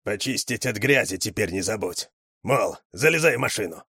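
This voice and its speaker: A man's voice: fairly low pitch, lively intonation, moderate pace, pushed and bright, husky, no room sound, clean studio sound, full character voice. A man voicing a wiry, tattooed, sly male ex-convict who mocks people in prison slang. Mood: defiant.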